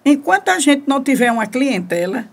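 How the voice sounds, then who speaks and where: A practical, curious Brazilian woman in a radio soap. Her voice is slightly high, husky and full of creak. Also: very animated, brisk, full character voice. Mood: inquisitive. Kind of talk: radio soap opera acting.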